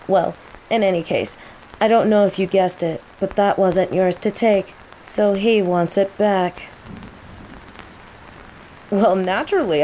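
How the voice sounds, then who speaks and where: An actress playing a teasing young nature mage and rival apprentice in a roleplay audio drama, playful and taunting. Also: female voice, mid-range voice, natural voice, rough recording. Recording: steady rain noise behind. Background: rain.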